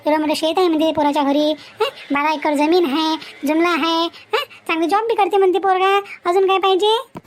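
A woman voicing a meddling, easily offended wife in an animated family drama, nagging. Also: very high-pitched, brisk, a little hiss or hum.

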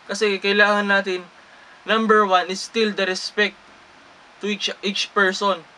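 A man's voice: high-pitched, little pitch movement, normal speed, bright, forceful tone, poor audio. A young man with a Filipino accent talks earnestly to the camera in a small room, switching between Tagalog and English and restarting words as he reads English passages. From Philippines. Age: young adult. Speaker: vlogger. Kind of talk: casual talk to camera, mixing Tagalog and English.

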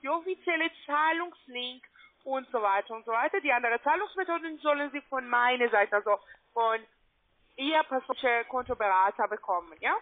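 A woman with a foreign accent, not a native speaker, making an insistent, repetitive phone sales pitch as an account adviser in broken grammar. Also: brisk. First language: not German. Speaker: call-centre account adviser. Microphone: telephone. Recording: tinny band-limited phone line.

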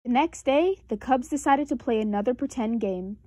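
A woman reading aloud as a children's storybook narrator, expressive and with deliberate emphasis. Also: a little high, no room sound, clean studio sound. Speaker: storybook reader.

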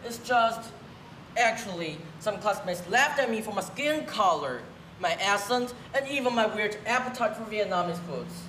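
A woman's voice: medium pitch, animated, normal speed, natural voice. A young woman with a Taiwanese accent playing an upset teenage girl, the child of an immigrant, in a school play, defensive and frustrated. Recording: echoey hall with noise.

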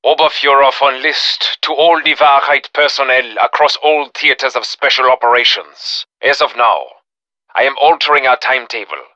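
A menacing German officer from a video game, speaks with a German accent in a hard, intensely forceful voice. He is fanatical, cruel and theatrical, issuing threats and orders with deliberate contempt. The sound is dry and close, with a slightly dull top end. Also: mid-range voice, no room sound. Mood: commanding, rallying. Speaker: villain character in a video game. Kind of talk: video game villain voice acting, menacing monologue.

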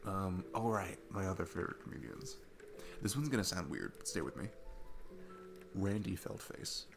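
Man playing a low, gravelly, chatty sweet dad. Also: expressive, music in the background. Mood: playful, affectionate. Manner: rambling, enthusiastic, halting with many restarts. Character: sweet, chatty single dad on a first date. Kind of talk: ASMR audio roleplay, casual rambling talk.

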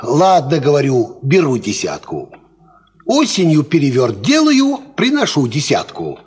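An actor playing a worldly, cocksure peasant farmhand, explaining things in folksy fashion in a 1950s Soviet radio play. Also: male voice, on the high side, unhurried, slightly rough, full character voice. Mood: confident explaining. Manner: folksy, animated, instructive.

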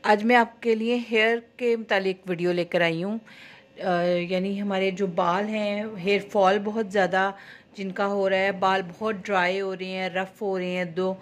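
A woman's voice: medium pitch, steady tone, moderate pace, soft background music. A woman who runs a beauty salon, chatting step by step through a homemade hair oil recipe in her kitchen, with small fillers as she works. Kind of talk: home cooking-style hair oil tutorial. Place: home kitchen at stove.

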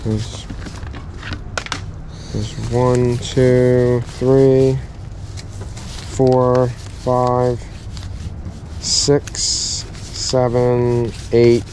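Easygoing man, casual haggling vlog, outdoor chest-cam sound with steady noise. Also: medium pitch, very slow, long pauses. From Philadelphia. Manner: easygoing, chatty, counts items aloud. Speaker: thrift reseller vlogger. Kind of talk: casual chat while haggling at a yard sale. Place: outdoors at a driveway yard sale. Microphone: chest-mounted action camera. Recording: outdoor camera mic with steady background noise.

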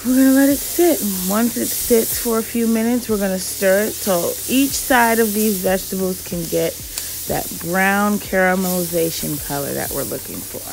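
A woman giving an unhurried, step-by-step cooking narration in a home kitchen, in a homely, instructional way. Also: mid-range voice, gravelly, background noise. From Jamaican parents. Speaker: cooking vlogger. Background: background music.

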